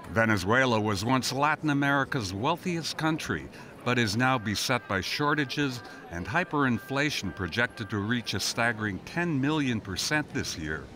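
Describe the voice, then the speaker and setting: A man's voice: medium pitch, sharp tone, slight background noise. A man reporting international news in a scripted narration.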